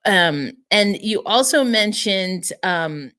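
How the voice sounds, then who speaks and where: Bright-voiced woman hosting a podcast. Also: mid-range voice, slow pace. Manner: lively, laughing, leading questions. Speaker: podcast host. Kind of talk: podcast interview chat.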